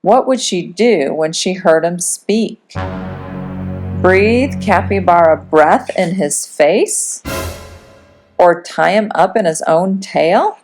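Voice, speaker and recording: Lowish-voiced woman reading a children's story. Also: lively intonation, takes it slowly, darkish tone, soft background music. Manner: dramatic storytelling. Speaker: kindergarten teacher reading a storybook. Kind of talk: expressive children's story narration.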